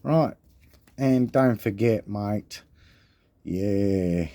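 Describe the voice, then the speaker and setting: Aussie bloke, deep voice, kinda dark and mellow, easygoing chat full of mate and swearing. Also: male voice, very slow, no room sound. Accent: Australian. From Australia. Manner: laid-back, swears casually, calls viewers mate. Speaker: coin collecting vlogger. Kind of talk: casual show-and-tell chat. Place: indoors at a table.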